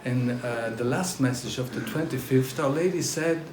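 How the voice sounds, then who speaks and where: A man from northern Italy whose first language is German, giving a religious testimony to a pilgrim group in halting English full of fillers. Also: medium pitch, reverberant, background noise. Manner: halting, searching non-native English with fillers. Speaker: pilgrimage speaker. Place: gathering at a castle.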